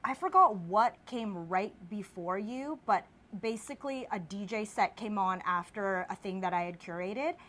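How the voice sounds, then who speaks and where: A woman from the Philippines hosting an interview, enthusiastic and animated as she tells a story. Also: mid-range voice, normal speed, hardly any pauses. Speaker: host. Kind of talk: casual interview.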